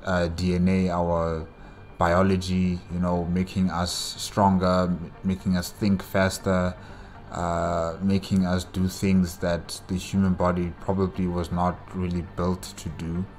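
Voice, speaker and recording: A man giving casual solo reaction commentary as host, halting as he searches for words. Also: low-pitched, monotone, darkish tone.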